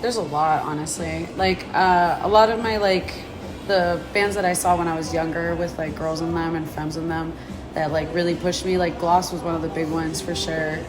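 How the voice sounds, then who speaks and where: A young woman with a husky, rough voice, talking casually and slangily in a bar about her band, often laughing. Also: on the low side, fairly even intonation, moderate pace, background noise. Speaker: band member. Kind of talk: casual chat.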